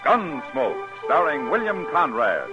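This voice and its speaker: Loud music backs a muffled vintage radio broadcast. An American man announces with solemn drama, his mid-pitched voice swinging widely in a declamatory delivery. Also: wildly swooping pitch, takes it slowly. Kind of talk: dramatic 1950s radio series introduction. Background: loud orchestral music.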